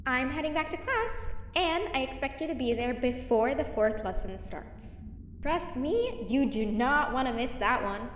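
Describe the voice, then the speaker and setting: A woman's voice: high-pitched, lively intonation, unhurried, a little hiss or hum. A woman playing a talkative, eager toy mascot teacher in a video game, with an instructive manner. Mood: eager, bossy. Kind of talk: video game character acting. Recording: roomy distant hall reverb, music behind.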